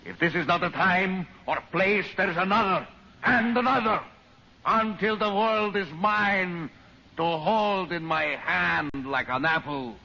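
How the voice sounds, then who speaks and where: An actor playing a megalomaniac villain bent on ruling the world, grand and sneering, in old-time radio drama style. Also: male voice, sits high, measured pace, gravelly, bright, forceful tone, exaggerated voice. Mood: furious. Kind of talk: 1940s radio acting. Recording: dull old radio broadcast with hiss.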